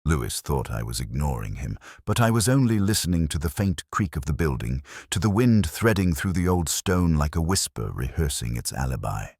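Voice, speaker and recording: Man, extremely low pitch, expressive intonation, brooding detective narrator, measured and reflective literary storytelling, dry close sound. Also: husky, no room sound. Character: brooding, literary-minded male police detective recounting a case. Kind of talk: literary first-person detective audio drama narration.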